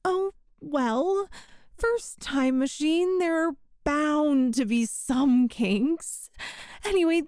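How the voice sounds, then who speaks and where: A woman's voice: high-pitched, darkish tone, no echo, clean studio sound. A woman playing an awkward, chatty time traveler from the far future in an ASMR roleplay, rambling and hesitant. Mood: embarrassed, chatty. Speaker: audio roleplay performer.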